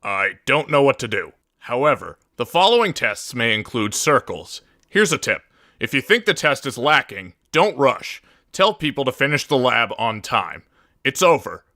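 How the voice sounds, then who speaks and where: Man putting on a gravelly, declamatory company boss. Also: mid-range voice, very animated, moderate pace, sharp tone, dry recording, studio quality. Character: brash male company boss spouting garbled announcements. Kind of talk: parody game voice lines, read from garbled machine translation.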